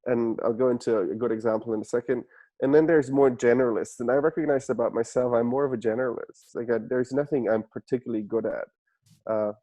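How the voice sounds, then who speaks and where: A man's voice: mid-range voice, steady tone, brisk, mellow, no room sound, low-quality recording. A man in his thirties from Germany with a German accent, answering interview questions in an earnest, reflective way with frequent restarts. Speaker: guest entrepreneur.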